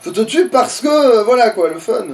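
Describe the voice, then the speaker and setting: A man's voice: very high-pitched, lively intonation, normal speed, darkish tone, far from the mic, faint music behind. A man chatting casually as he plays a game, crude and joking, with plenty of swearing. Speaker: let's player. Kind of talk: casual gaming commentary with a friend.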